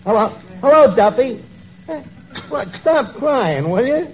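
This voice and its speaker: An actor playing a gruff, uneducated tavern keeper in a 1940s radio comedy, with slangy, ungrammatical speech. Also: male voice, extremely high pitch, expressive, a little slow. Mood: coaxing, exasperated. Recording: muffled old radio recording, noise, studio audience.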